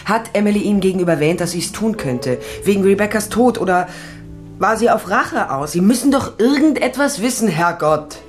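A woman's voice: medium pitch, animated, fairly quick, soft background music, close to an everyday voice. An actress playing a tough, wry female private investigator in a German radio crime drama, blunt and dry. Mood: brisk, pressing. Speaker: narrator and lead actress.

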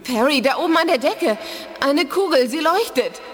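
A woman's voice: high-pitched, lively intonation, normal speed. An actress playing an alert female telekinetic crewmember who urgently reports what she sees in a radio drama. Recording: long reverb.

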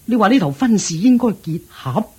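A man's voice: high-pitched, expressive, normal speed, mellow, breathy edge, exaggerated voice. A man with a Hong Kong Cantonese accent playing a proud, worried wealthy father who pleads and frets in a radio drama. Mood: fretful. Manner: pleading, fretful.

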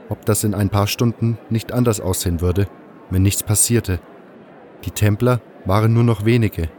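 A man's voice: lowish voice, dark tone, slight background noise. A man reading scripted first-person narration for a fantasy audio drama.

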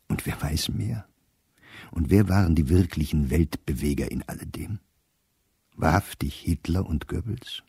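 Weary middle-aged diarist, very low husky voice, measured, sardonic, literary radio play. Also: male voice, darkish tone, no room sound, studio quality, full character voice. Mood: musing, sceptical. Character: educated middle-aged male diarist, bitter, weary, reflective.

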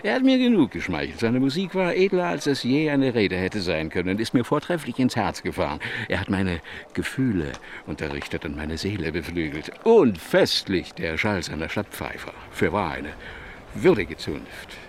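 A self-absorbed baroque monarch in a radio play. His fairly deep voice swoops with great animation. He is pompous and effusive, with some background noise around him. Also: very animated, normal speed. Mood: gushing, dismissive. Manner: pompous, gushing, florid, talks over others. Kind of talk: German radio drama acting.

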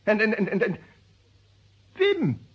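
An indignant suspect with a husky, rather high voice and big swings of pitch. He is emphatic and worked up, repeating himself in a Netherlands Dutch accent. It sounds like a dull vintage radio drama recording. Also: slow pace, heavily acted. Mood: excited, pleading. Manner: excitable, emphatic, repeats words. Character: agitated, indignant male lawyer suspected of murder, pleading innocence. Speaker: actor in a detective radio play.

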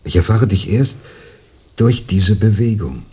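An actor playing a brooding, alienated astronaut in a radio play, speaking in an introspective and intense way. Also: male voice, on the low side, animated, husky, exaggerated voice. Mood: brooding, intimate. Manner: hushed, halting, ponderous. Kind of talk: 1990s radio drama acting.

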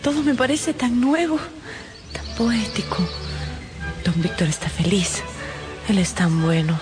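An actress playing a romantic, emotional young married lady in a radio drama, with a breathy, fervent delivery. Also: medium pitch, very animated, a little slow, music in the background. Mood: dreamy joy.

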